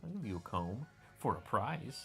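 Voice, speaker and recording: A woman putting on the voice of a greedy male game shopkeeper who hoards prizes, talking in a mock-gruff, slangy way. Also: extremely low pitch, big pitch swings, moderate pace, soft background music, heavily acted.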